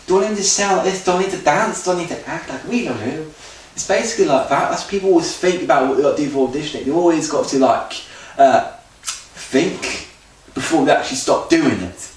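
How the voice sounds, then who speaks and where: A man talking off the cuff in an unscripted audition tape, rambling with loose grammar and restarts. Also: fairly high pitch. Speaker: auditioning actor. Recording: very echoey room, far from mic, faint noise.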